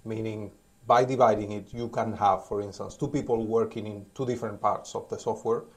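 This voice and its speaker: A man in his forties from Cuba, giving relaxed, thoughtful interview answers, pausing often and restarting as he talks, filmed outdoors on a rooftop terrace on a phone at arm's length. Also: fairly low pitch. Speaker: interview guest, DevOps engineer. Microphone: phone held at arm's length.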